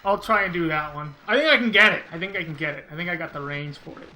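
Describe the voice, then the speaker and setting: Bright-voiced man chatting while gaming. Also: a little high, fast, low-quality recording. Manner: thinking aloud, rambling and unsure. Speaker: game streamer. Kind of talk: casual live-stream chat while playing.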